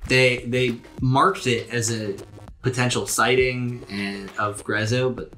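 A man's voice: mid-range voice, fairly even intonation, slow, bright, forceful tone, soft background music, rough recording. A man narrating a tabletop game podcast as its game master.

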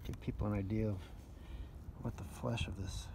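Man chatting outdoors, loud wind noise. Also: on the low side, steady tone, normal speed. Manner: chatty, talks to his dog. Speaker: vlogger foraging on camera. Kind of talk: casual on-the-spot vlog talk. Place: outdoors in woodland. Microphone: handheld camera.

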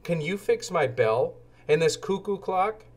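Eager young storybook tomcat, earnest and childlike, pitched up by a male reader. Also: expressive, a little slow. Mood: eager, grateful.

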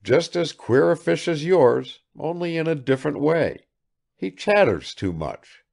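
A man's voice: fairly high pitch, very animated, a little slow, gravelly, darkish tone, voice pushed up, full character voice. A man reading aloud and voicing a blunt, nonchalant young man with a curt, dismissive manner.

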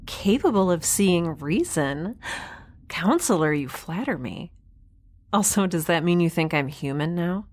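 An actress playing a wry young scientist on a space station, casual, breezy and sarcastic, in a science fiction audio drama. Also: female voice, fairly low pitch, expressive, measured pace, a little mellow, no echo. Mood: dry, sarcastic.

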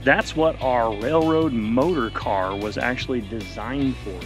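A man's voice: medium pitch, a little slow, over loud music. A man in his thirties chatting casually outdoors in the desert, explaining and joking with a friend. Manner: joking, explaining, mildly crude. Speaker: vlogger. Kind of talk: casual chat. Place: outdoors in the desert on a rail cart.

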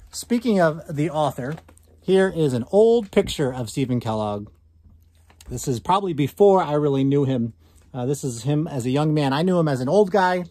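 A man's voice: fairly high pitch, a little mellow, no room sound. A man in his thirties giving a conversational read-aloud lesson, asking listeners questions as a teacher. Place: small indoor room at home.

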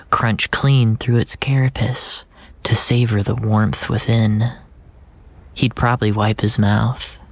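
A man narrating first-person fiction in a deliberate, brooding, dramatic way, drawing out his phrases. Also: fairly low pitch, vocal fry, no echo. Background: music.

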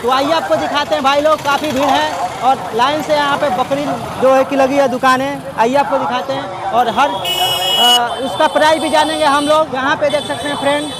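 A man's voice: very high-pitched, steady tone, normal speed, background noise. A young man touring an outdoor livestock fair with a handheld phone, chatting to his viewers and repeating that they can see everything. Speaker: vlogger showing a livestock fair. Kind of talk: walking travel vlog commentary. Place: outdoor fair market among goat stalls. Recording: busy outdoor crowd behind.

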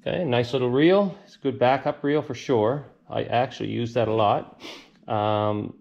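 A man hosting a fishing vlog, talking casually in a folksy, unscripted, halting way. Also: medium pitch, creaky, a little mellow, dull audio. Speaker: fishing channel host. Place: garage, outdoor beach and shoreline, varied spots.

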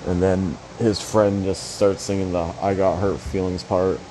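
A man's voice: deep voice, level tone, a little slow, noisy background. A young man casually commenting on a mobile fighting game, rambling and swearing with joking asides.

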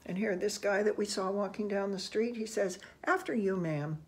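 An older reader with a gravelly, creaky voice shares a picture book with children. The delivery is gentle and unhurried, with soft musing asides. There is faint noise in the small room. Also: medium pitch. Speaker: children's read-aloud host. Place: small room indoors at home.

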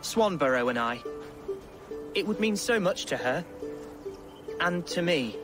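An actor playing a gentle, eager young Anglo-Saxon lord in a video game, hesitant and earnest as he speaks. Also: fairly high pitch, lively intonation, unhurried, music in the background. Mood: hesitant, hopeful. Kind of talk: video game cutscene acting.

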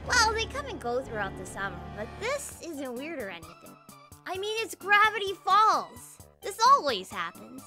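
A woman voicing a bubbly, excitable young girl in a cartoon dub, with a gushing, dramatic manner. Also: high voice, normal speed, music in the background. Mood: matter-of-fact.

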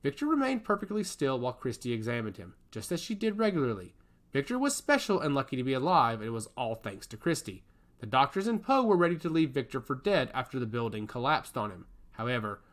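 A male audiobook narrator reading a story aloud at a middle pitch. His delivery is expressive, with a wide, animated rise and fall through each sentence.

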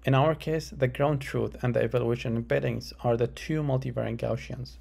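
A man giving a scripted academic presentation as a researcher, in a measured, formal, explanatory way. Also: mid-range voice, fairly even intonation, creaky, a little mellow. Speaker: researcher presenting a conference paper.

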